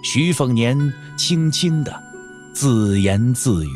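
A man narrating an audio drama from a script in an expressive storytelling manner. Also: mid-range voice, slow pace, faint music behind.

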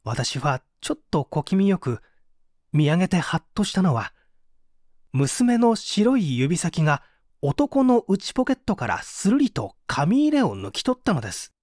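An actor playing a poor, contrite painter making an earnest, theatrical confession in a dramatic audio mystery. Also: male voice, a little high, huge pitch swings, a little slow, darkish tone, no room sound, studio quality, full character voice. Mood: tense, recounting. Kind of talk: dramatic Japanese audio reading of a mystery story.

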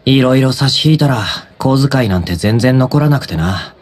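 A man voicing a cool, offhand high school boy in an anime-style game, casual and blunt. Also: animated, normal speed, darkish tone, natural voice. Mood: nonchalant.

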